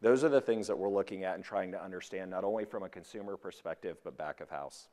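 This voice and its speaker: Low-voiced man presenting in a hall. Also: fast, mellow. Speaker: conference speaker, corporate executive. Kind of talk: prepared business presentation. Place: large indoor conference stage before an audience. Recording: echoey hall with long reverb.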